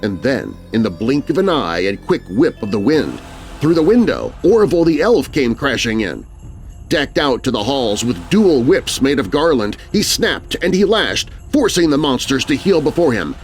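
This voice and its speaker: A man's voice: medium pitch, very animated, moderate pace. A man narrating a spooky rhyming children's story in a theatrical, dramatic way. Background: music.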